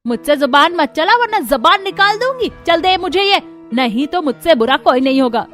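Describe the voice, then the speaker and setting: A woman's voice: sits high, expressive, normal speed, fairly bright tone. A woman playing a greedy, scolding, spiteful step-relative who bullies in a cartoon story. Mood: threatening. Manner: scolding, threatening. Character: greedy, bullying stepmother and stepsister type, spiteful. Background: music.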